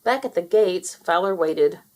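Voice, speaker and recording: A woman reading a children's picture book aloud in an expressive storytelling manner. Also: fairly low pitch, measured pace. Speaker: storybook reader. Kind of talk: children's read-aloud narration.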